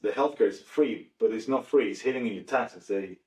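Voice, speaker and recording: A man from Venezuela with a Venezuelan accent, a native Spanish speaker, talking casually and informally in an animated way. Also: on the low side, brisk. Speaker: podcast participant. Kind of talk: casual conversation. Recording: echoey room, distant mic.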